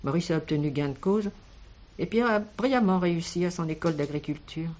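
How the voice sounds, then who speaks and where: An actress playing an older village schoolteacher in a French radio drama, kindly and protective, speaking in a measured, expressive way. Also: female voice, fairly low pitch, lightly acted. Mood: reminiscing.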